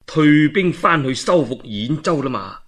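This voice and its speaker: A man with a Cantonese accent voicing a shrewd, composed male military adviser in a measured, persuasive manner. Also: on the high side, lively intonation, a bit bright. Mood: calm, persuasive.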